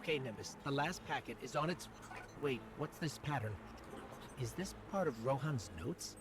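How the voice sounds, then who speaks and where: An actor playing a calm, attentive mission guide in a science fiction game, with a composed, matter-of-fact manner. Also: male voice, medium pitch, normal speed, background noise, close to an everyday voice. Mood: focused, puzzled. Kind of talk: video game voice acting.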